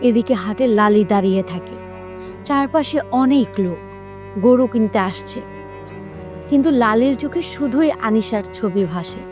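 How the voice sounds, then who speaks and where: A woman reads a scripted story for young children in the role of storyteller. Her voice sits a little high. Music plays behind her narration. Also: unhurried.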